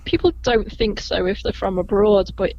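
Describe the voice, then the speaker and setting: A woman with a soft Liverpool Scouse lilt chats easily as a podcast guest. She rambles a little and laughs often. Her voice sits at a middling pitch, and the audio is a bit muffled, with a faint hiss behind it. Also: fairly even intonation, moderate pace. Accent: gentle Liverpool (Scouse). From Liverpool, England. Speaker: Beatles tour guide, podcast guest. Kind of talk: casual podcast interview.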